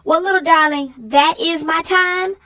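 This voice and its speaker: A woman's voice: very high voice, a little slow, pushed and bright. A woman co-hosting a children's story channel, discussing stories in a conversational style.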